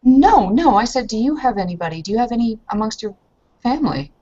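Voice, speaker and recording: A woman's voice: fairly high pitch, animated, fairly quick, dark tone. A woman playing a candid, hesitant young newlywed bride who is frustrated, in improvised tabletop roleplay. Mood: earnest, probing. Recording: dull lo-fi voice recording.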